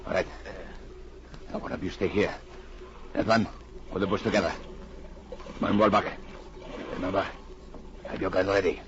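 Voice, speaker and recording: A cold, methodical smuggling boss acted by a man in a vintage radio adventure. He gives menacing, deliberate orders in a low voice on a muffled, noisy recording. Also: fairly even intonation, natural voice. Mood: terse command. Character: cold, methodical male gunrunner ringleader. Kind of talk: 1940s radio villain acting.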